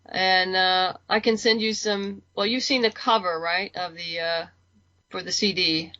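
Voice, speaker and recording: A woman's voice: lowish voice, fairly even intonation, measured pace, bright, forceful tone, no room sound, lo-fi sound. A woman chatting casually as a guest in an interview. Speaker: interview guest, comics creator. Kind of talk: casual chat.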